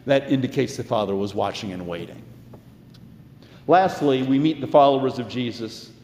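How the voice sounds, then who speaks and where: A man's voice: mid-range voice, darkish tone, slight background noise. Man preaching in an echoey, reverberant hall. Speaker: pastor. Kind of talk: sermon. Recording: echoey, reverberant church sound.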